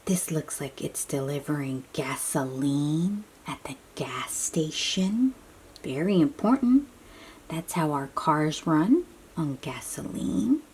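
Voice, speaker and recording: A low, mellow woman's voice reads a picture book to small children very slowly. She often softens to a near-whisper and puts simple questions to the listeners. There is faint room noise behind her. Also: expressive. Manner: addresses young listeners with questions and simple explanations. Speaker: children's read-aloud host. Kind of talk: picture-book read-aloud for young children.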